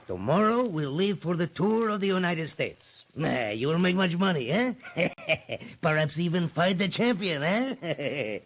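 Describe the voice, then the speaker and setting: An actor playing a sinister, oily Argentine fight manager with a Spanish accent, deliberate and given to repeating words, in radio drama style. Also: male voice, on the high side, lively intonation. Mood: effusive. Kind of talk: 1940s-50s radio drama acting. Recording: muffled vintage radio recording.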